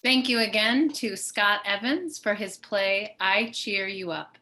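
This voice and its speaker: A woman's voice: mid-range voice, measured pace, sharp tone, slight background noise. A woman hosting a live online event, introducing the items on the program over a video call. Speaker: host.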